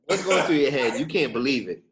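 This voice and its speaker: A man's voice: medium pitch, expressive, a little fast, a crowd in the background. A man hosting a podcast in casual chat, affirming his guest and often repeating his own phrases. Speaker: podcast host.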